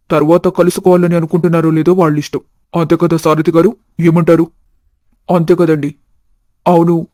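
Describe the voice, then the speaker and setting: Man as a genial father, evenly pitched. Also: dark tone, no echo, clean studio sound, natural voice. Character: genial, practical middle-aged father arranging a match. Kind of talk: animated moral story dialogue.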